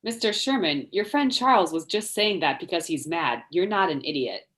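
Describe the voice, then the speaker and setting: Woman as animated, eager young detective's sidekick. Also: fairly low pitch, normal speed. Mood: earnest. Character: earnest young female detective's assistant, eager and loyal. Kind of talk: amateur script table read.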